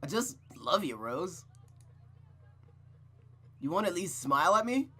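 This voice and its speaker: Man putting on a loud cocky surfer-boy suitor. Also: high-pitched, very animated, a little slow, breathy edge, pitched above the performer's own voice, exaggerated voice. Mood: playfully wooing. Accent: surfer American.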